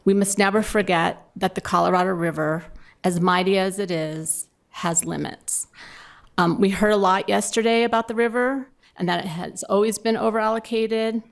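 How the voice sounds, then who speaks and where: A woman's voice: medium pitch, moderate pace, creaky. A middle-aged woman giving informal panel remarks at a conference, talking conversationally and directly to the audience. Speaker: panelist from a municipal water provider.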